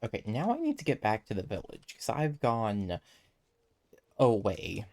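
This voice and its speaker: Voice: mid-range voice, huge pitch swings, measured pace, a little mellow. A game streamer giving casual gameplay commentary, musing aloud to themself while playing. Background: game music.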